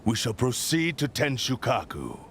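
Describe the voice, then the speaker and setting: An actor playing an arrogant, menacing cursed sword spirit in a video game, speaking in haughty, formal pronouncements. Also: male voice, medium pitch, wildly swooping pitch, normal speed, noisy background. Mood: cold, challenging. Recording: loud game music throughout. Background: loud music.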